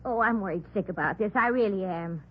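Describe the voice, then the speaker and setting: A woman's voice: medium pitch, fairly quick, slight background noise, natural voice. An actress playing a talkative, fretful, outspoken maiden lady in her sixties in an old radio crime drama. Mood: worried, fluttery. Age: older. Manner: gushing, chatty, outspoken. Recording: muffled vintage radio drama recording.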